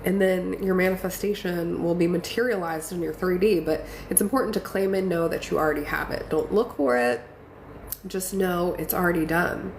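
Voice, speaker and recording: Woman, stuffy nose, gravelly voice, affirming live-stream coach, echoey room with faint noise. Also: lowish voice, normal speed. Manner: encouraging, affirming, chatty with fillers. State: congested from a cold. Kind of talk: casual live-stream talk reading viewer comments.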